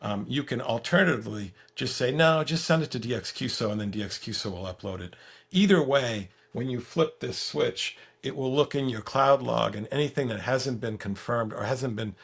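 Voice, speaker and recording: A man with a gravelly, husky voice giving a casual software walkthrough as the guest, halting and repeating words as he explains. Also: mid-range voice. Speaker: software creator giving a product demo as guest. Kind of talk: casual screen-share walkthrough.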